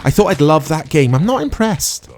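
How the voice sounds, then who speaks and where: A man's voice: a little high, very animated, moderate pace, a little mellow. A man giving casual, sarcastic gameplay commentary, chatting and laughing at himself as he plays. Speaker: let's-play commentator.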